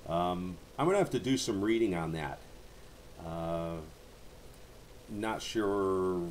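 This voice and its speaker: Man, deep voice, folksy rambling manner, casual radio operator chat, faint background noise. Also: a little mellow. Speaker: amateur radio operator, livestream host. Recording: noisy amateur radio channel, background hiss.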